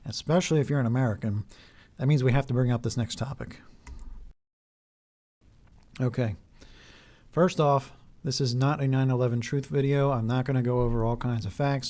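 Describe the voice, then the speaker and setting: Soft-toned man talking earnestly, voice wearing thin. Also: medium pitch. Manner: halting, with fillers and restarts. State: voice getting hoarse. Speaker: video host. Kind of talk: earnest talk to camera.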